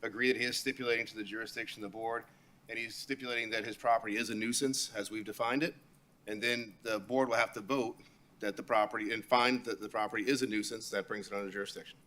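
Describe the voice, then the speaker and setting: A man's voice: fairly low pitch, steady tone, fast talker, bright, forceful tone. A man advising a city board on procedure, precise and repeating terms to get them right. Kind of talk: procedural explanation. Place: city board meeting room.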